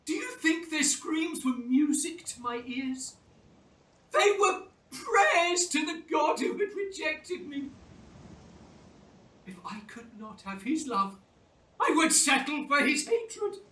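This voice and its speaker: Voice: high voice, very animated, slow pace, bright, forceful tone, echoey room, distant mic, heavily acted, rough recording. An actor playing a tormented, self-loathing monster in a radio play, declaiming in a formal, theatrical, anguished way.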